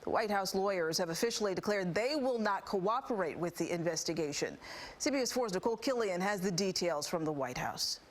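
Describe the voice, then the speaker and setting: A woman's voice: mid-range voice, expressive, fairly quick. A woman with an American accent reading scripted news as an anchor, in a formal, polished broadcast delivery. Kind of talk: scripted TV newscast narration.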